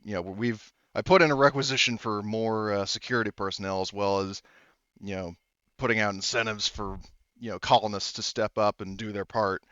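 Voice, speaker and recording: Weary sci-fi colony sheriff played by a male game master, low and smooth, businesslike, halting briefing. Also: fairly even intonation, a bit bright, no room sound, muffled recording, lightly acted. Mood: weary, resigned. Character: weary colony sheriff, middle-aged man, frank and businesslike.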